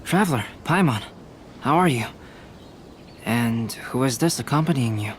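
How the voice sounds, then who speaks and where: A man voicing a calm, perceptive young alchemist who talks in a measured, analytical way, in a game. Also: mid-range voice, a little hiss or hum, lightly acted. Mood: friendly. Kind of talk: game voice acting. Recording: game cutscene with music underneath. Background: game music.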